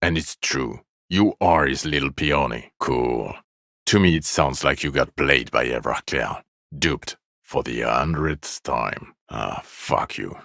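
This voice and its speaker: A jaded male cop from a video game, with an extremely low, gravel-edged voice. He is sarcastic and irritable, delivering his lines in a weary, scornful deadpan. The sound is close and dry. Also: takes it slowly, no echo, exaggerated voice. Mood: bitter, mocking.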